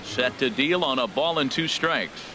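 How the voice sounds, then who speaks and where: A man's voice: medium pitch, expressive, moderate pace, over music, close to an everyday voice, low-quality recording. A man playing a composed, professional baseball play-by-play announcer in a video game, calling the action. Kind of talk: play-by-play sports commentary. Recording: stadium noise behind the commentary.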